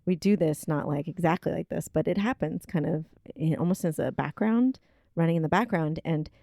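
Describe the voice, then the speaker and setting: A woman with a low, gravelly voice muses through ideas in relaxed conversation. She pauses and fills gaps as she works out her thoughts. The sound is dry and close, with no room echo. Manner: thoughtful, searching, with many fillers. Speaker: podcast co-host. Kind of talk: casual chat. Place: home kitchen table.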